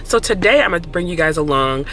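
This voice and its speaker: A woman in her thirties, a nurse practitioner, chatting to viewers in a raspy voice from a cold. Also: lowish voice, lively intonation, quick pace. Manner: chatty, addresses viewers directly. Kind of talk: casual vlog chat. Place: parked car and conference building. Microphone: handheld phone at arm's length.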